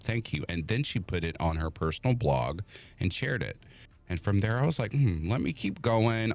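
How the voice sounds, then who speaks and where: A man with a voice he calls made for radio, a podcast guest telling his story in an animated way and acting out what others said. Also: sits low. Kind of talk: casual chat on a podcast.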